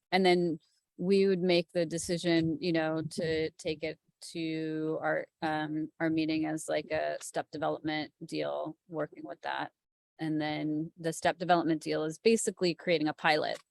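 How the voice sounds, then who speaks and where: A woman's voice: sits low, gravelly, no room sound. A woman producer on a panel, explaining things informally and off the cuff in loose, casual phrases.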